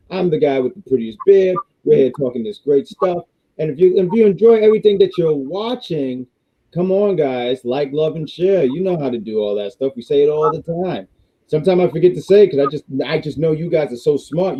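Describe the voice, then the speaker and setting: A man hosting a casual wrestling review stream, rambling with restarts and reading viewer chats, his voice going hoarse. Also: a little high, highs cut off. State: losing his voice. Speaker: wrestling review show host.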